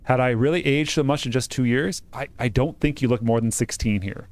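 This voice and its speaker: A man's voice: medium pitch, brisk, no echo. A man doing a casual let's-play, reading game narration aloud and joking about the text as he goes. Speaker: game streamer reading a visual novel aloud.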